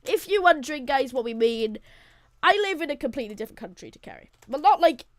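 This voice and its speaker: Welsh teen girl co-host, native Welsh speaker, chatty and rambling, slightly high voice, dry close sound. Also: expressive, quick pace, dry recording. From Wales. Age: teens. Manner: rambling, giggly, talks to viewers as "guys". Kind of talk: casual chat.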